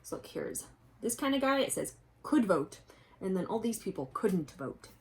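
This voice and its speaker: A woman's voice: mid-range voice, very animated, normal speed, darkish tone, low-quality recording. A woman reading a picture book aloud to children expressively, breaking off to explain things directly to young listeners. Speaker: children's book reader and presenter.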